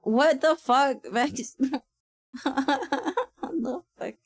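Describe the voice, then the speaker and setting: A woman streaming from her desk, chatting casually. She sounds tired and a little raspy, swears freely and laughs often. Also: high-pitched, drawn-out words. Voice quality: raspy when sleepy. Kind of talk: casual livestream chat.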